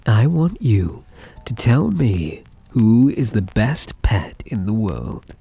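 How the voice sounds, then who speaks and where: A soft yandere mafia heir, acted by a man in an intimate ASMR roleplay, speaking slowly with a breathy, husky edge. His delivery rises and dips expressively as he coaxes, tender and possessive. Music plays quietly behind him. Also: on the low side, sing-song, exaggerated voice. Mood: doting, playful. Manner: tender, coaxing, softly possessive.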